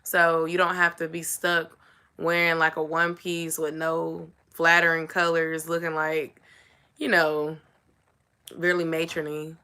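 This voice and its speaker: A young woman with a somewhat raspy voice, chatting casually to her viewers and saying "y'all" and "like" a lot. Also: deep voice, monotone, unhurried, pushed and bright. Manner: chatty, says "y'all" and "like" often. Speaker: vlogger. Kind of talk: casual chat to camera.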